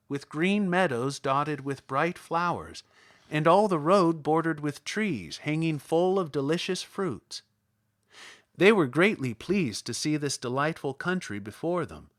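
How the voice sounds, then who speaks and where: A man narrates a storybook as an audiobook. His voice sits a little high and moves in animated swings. The sound is dry and close. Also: no room sound.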